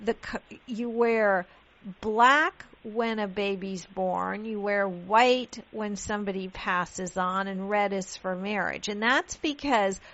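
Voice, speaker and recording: A woman from Pakistan who grew up in London, hosting a radio interview in a chatty, expressive way. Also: mid-range voice, slow, dull audio. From Pakistan, raised in London. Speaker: radio show host.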